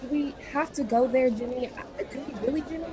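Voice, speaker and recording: Woman playing an anxious, high-voiced young wife. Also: fairly quick, a crowd in the background, lightly acted. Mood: worried. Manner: fretful, pleading. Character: anxious, reluctant young wife dragged to a party. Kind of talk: radio drama acting.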